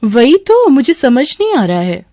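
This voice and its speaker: A woman's voice: high-pitched, sing-song, unhurried, a little mellow, voice pushed up. A woman voicing a young bride and daughter, sheepish and whiny, with a pleading, innocent manner. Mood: feigned innocence.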